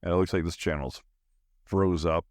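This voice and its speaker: A man's voice: sits low, steady tone, normal speed, darkish tone, no echo, studio quality. A middle-aged man with an American accent, hosting a podcast in a casual, rambling, slangy monologue. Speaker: podcast host.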